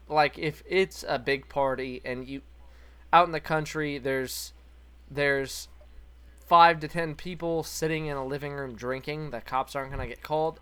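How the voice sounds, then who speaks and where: Casting: young Kansas man, southern accent, blunt and crude, smooth clean voice. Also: mid-range voice. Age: young adult. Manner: blunt, profane, animated storytelling. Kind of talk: casual chat.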